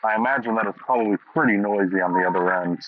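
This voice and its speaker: A man's voice: fairly low pitch, racing. A man speaking casually outdoors on a windy street, recorded through earbud microphones. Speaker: tech reviewer. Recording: dull earbud mic with faint wind noise.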